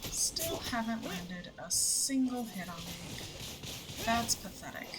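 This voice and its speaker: A woman's voice: on the high side, lively intonation, slow pace, loud music behind the voice. A young woman giving casual, playful and slightly sarcastic commentary while streaming a video game. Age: young adult. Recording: clear game noise behind voice.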